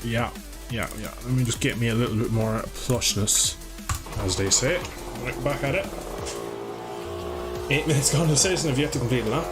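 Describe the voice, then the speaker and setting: A man chatting his way through a live game stream, rambling and swearing when he crashes. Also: medium pitch. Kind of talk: live gameplay commentary.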